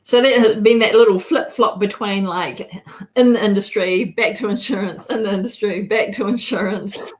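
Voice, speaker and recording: A woman's voice: mid-range voice, moderate pace, darkish tone. A woman with a New Zealand accent hosting a live interview show, speaking in a welcoming way. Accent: New Zealand English. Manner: welcoming, opens in te reo Māori. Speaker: host.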